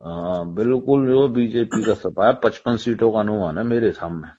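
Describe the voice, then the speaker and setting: A man's voice: lowish voice, moderate pace, breathy edge. A man chatting informally and confidingly in colloquial words on a recorded phone call. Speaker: government employee on election duty.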